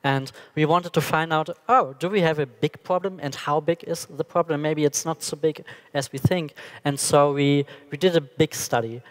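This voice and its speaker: Young male panelist, plain phrasing, higher voice, hall echo. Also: steady tone, normal speed, few pauses. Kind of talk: conference panel talk. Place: large conference stage. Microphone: headset mic. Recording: reverberant hall.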